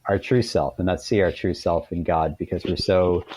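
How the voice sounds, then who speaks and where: A man's voice: low voice, moderate pace, dark tone, slight background noise. A man speaking as a podcast guest, explaining ideas in a thoughtful, reflective interview style.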